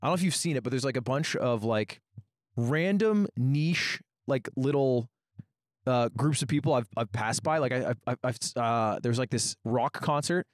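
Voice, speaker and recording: Podcast host, male, twenties, American accent, mid-pitched, casual group chat, dry handheld-mic sound. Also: normal speed, no room sound. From New Hampshire, United States. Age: 20s. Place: outdoor rooftop deck. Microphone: handheld microphone held close.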